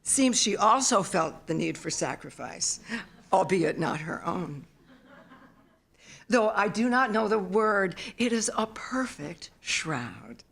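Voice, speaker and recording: A mature woman reading a literary memoir at a live event in an expressive, solemn storytelling manner. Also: medium pitch, takes it slowly, vocal fry, slightly rough. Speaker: author reading own work. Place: theater stage, live reading.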